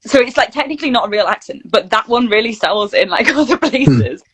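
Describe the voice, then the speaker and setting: A woman's voice: fairly high pitch, animated, brisk, fairly bright tone, husky. A woman chats casually as an interview guest, telling anecdotes and often saying "like". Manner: chatty, anecdotal, peppered with "like". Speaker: guest, actor and voice reel producer.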